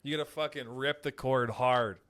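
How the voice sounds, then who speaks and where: A man's voice: mid-range voice, fairly even intonation, normal speed, fairly bright tone. A young man co-hosting a podcast, rambling through crude stories in casual banter and acting out quoted voices. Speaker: podcast co-host.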